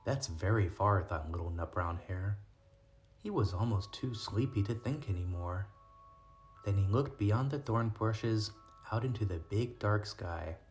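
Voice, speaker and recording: A man with a fairly low voice reads aloud for small children. His delivery is gentle and clearly spoken, in the manner of a storytime host, with music in the background. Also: expressive, measured pace. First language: English. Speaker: storybook reader and channel host. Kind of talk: read-aloud narration for young children.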